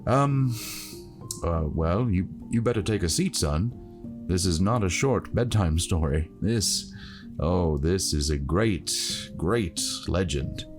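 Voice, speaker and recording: A man voicing a kind, fatherly monk in a fantasy story, speaking gently and earnestly. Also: low-pitched, expressive, takes it slowly, over music. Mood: astonished, earnest.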